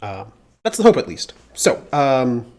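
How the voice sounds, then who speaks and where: A slightly congested man chatting casually on a live coding stream, thinking aloud with plenty of filler pauses, into a mounted mic at a home desk. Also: mid-range voice, big pitch swings, a little mellow. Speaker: livestreamer doing security research. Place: home desk with mechanical keyboard. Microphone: mounted desk studio mic.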